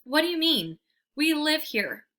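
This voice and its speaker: A woman's voice: sits high, sing-song, a little slow, bright, forceful tone, pitched above the performer's own voice, exaggerated voice. A woman voicing a cynical, irritable female golden retriever in a children's storybook, scoffing and snide. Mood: irritated.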